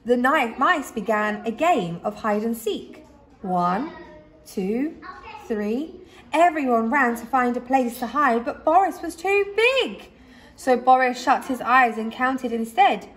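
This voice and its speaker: Animated, high-voiced woman reading a story. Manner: dramatic pauses and emphasis. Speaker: teacher reading to young children. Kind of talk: expressive children's story reading.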